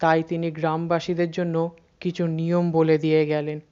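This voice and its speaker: A narrator reads a scripted horror story, using dramatic pauses and repeated words to build suspense. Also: mid-range voice, little pitch movement, a little mellow, clean tone, muffled recording.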